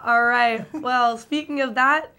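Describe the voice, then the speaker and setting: High-pitched young woman hosting sports. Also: steady tone, slow pace, crowd noise. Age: young adult. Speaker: sports show host. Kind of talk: presenting a student sports chat show.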